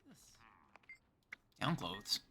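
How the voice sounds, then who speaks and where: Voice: slow, sharp tone. A streamer from Canada giving casual live video game commentary, chatting and thinking aloud in short remarks.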